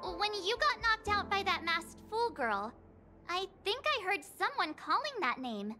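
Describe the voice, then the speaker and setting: A woman's voice: extremely high pitch, very animated, unhurried, heavily acted. An actress playing a cute, perky, curious teenage girl in a game. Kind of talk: English game voice acting. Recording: game dialogue over clear background music.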